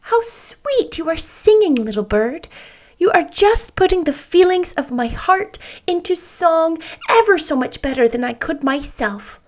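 A bright-voiced, excitable young girl with a head full of fancies, put on by a woman reading a storybook aloud. Her voice climbs high and gushes with feeling, yet the delivery stays slow and careful. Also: full character voice. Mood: dreamy. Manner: excitable, gushing. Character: eager imaginative young girl teacher. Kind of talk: audiobook character reading.

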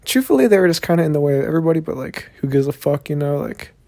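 A man's voice: on the high side, expressive, rapid-fire, creaky, dark tone. A young man with a Southern Texan accent hosting a solo podcast, rambling in a laid-back, profane way with plenty of fillers. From Texas, USA. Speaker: podcast host.

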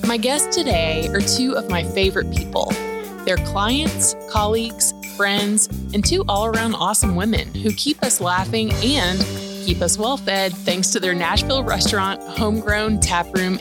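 A woman's voice: mid-range voice, moderate pace, loud music behind the voice. A woman hosting a podcast, chatty and bubbly, laughing often as she talks. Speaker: podcast host. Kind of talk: casual chat.

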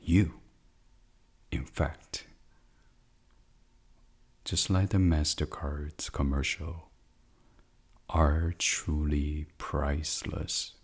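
A man leading a guided sleep meditation in a soft, soothing, hushed ASMR style, with long pauses and near-whispered phrases. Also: rumbling low, steady tone, slightly rough.

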